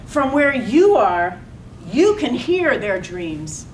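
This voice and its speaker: A woman narrating a poetic play from a script, deliberately lingering on single words. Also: a little high, sing-song, slight background noise, rough recording.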